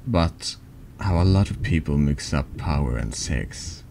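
A man's voice: a bass voice, lively intonation, measured pace, gravelly, warm tone, heavily acted. A man voicing a snide, needling man in a confessional for a horror text game. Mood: probing, cynical. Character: taunting, insinuating man in a confessional. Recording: noticeable background noise.

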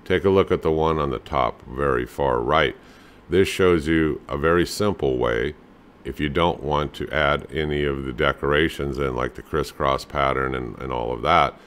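A middle-aged man hosting a home handyman show, casually explaining table leg designs over photos with a relaxed, plain-spoken manner and lots of "you know.". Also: a bass voice, normal speed.

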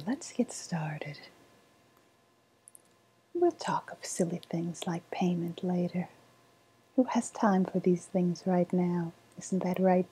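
A woman acts out a sly woodland witch who deals in dark love magic. Her voice is low and mellow, dissolving into a very breathy whisper. She coaxes slowly and deliberately, in the soft style of an ASMR roleplay. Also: full character voice, poor audio. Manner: slow, deliberate, coaxing.

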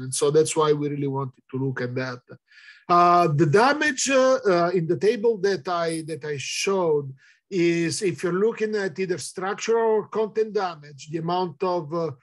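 Italian-accented man answering questions on a video call. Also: fairly high pitch. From Italy. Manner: hesitant, many "uh" fillers, thinking aloud. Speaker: researcher answering audience questions. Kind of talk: Q&A in an online talk. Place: indoors, virtual background.

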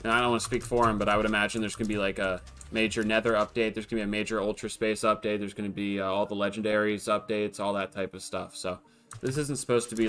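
A man's voice: lowish voice, a bit bright. A man streaming a block-building game, chatting casually and joking crudely with his viewers and a friend. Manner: laid-back, crude joking, talks to chat. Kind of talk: casual gaming banter with chat. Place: home streaming setup, indoors.

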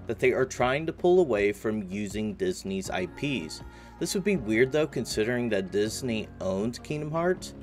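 Slightly raspy American man over music. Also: fairly low pitch. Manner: casual, informal address to viewers. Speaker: gaming news channel host. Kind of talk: scripted news recap narration. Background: music behind the voice.

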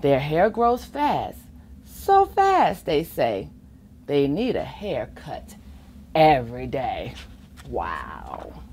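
A middle-aged woman reading a children's picture book aloud in an expressive sing-song way, with playful asides. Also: low-pitched, drawn-out words. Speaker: volunteer children's storybook reader. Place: school or public library children's corner, indoors. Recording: faint room ambience noise.

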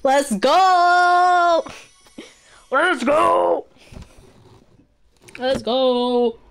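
A woman's voice: high-pitched, very slow, creaky. A woman streamer giving casual, slangy gameplay commentary with plenty of swearing.

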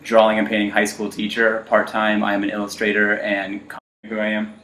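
A man in his thirties, a guest on a podcast, talking loudly and upbeat in casual chat with many fillers. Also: fairly low pitch, completely flat delivery, a little fast. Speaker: guest, art teacher. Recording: roomy echo.

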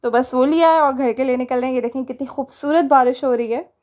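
A woman's voice: sits high, steady tone, quick pace, a little mellow. A young woman with a Pakistani Urdu accent narrating a shopping vlog in a chatty, rambling way with many fillers. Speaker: vlogger.

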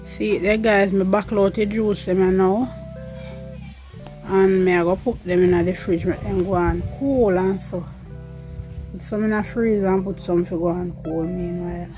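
A woman's voice: medium pitch, steady tone, moderate pace, mellow, soft background music, rough recording. A Jamaican woman casually chatting to her viewers in patois while cooking outdoors, filming on a handheld phone. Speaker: cooking vlogger. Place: outdoor yard kitchen. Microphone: phone held in one hand while filming.

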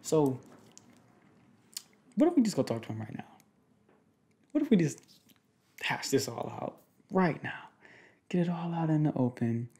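A young man in a casual, improvised boyfriend roleplay, speaking informally in slangy, halting, rambling phrases. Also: fairly high pitch, very animated, warm tone. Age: young adult. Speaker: ASMR roleplay performer playing a protective boyfriend. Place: small indoor room at home.

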